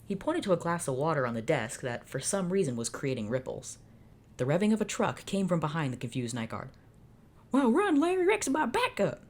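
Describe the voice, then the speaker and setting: Low-voiced woman reading fanfic aloud. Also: animated, a little fast, darkish tone. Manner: does character voices, breaks off into sighs and exasperated asides. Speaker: fanfiction reader. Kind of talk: dramatic fanfic reading with reactions.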